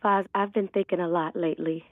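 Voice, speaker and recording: An actress playing a kind, apologetic but gently firm young girlfriend in an audio drama. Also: on the low side, steady tone, brisk, natural voice. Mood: hesitant, gentle. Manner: gentle, careful. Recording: dry, thin phone-like sound.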